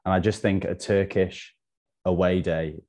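A man's voice: low voice, steady tone, measured pace, darkish tone. A young man from North Wales, a podcast guest, talking casually and laughing at himself. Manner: laid-back, self-deprecating, laughs often. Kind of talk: casual chat.

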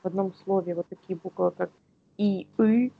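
A woman from Ukraine co-hosting a casual podcast, speaking in a halting, searching way. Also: mid-range voice, steady tone, vocal fry, narrow, tinny audio. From Kyiv, Ukraine. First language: Russian. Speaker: podcast co-host.

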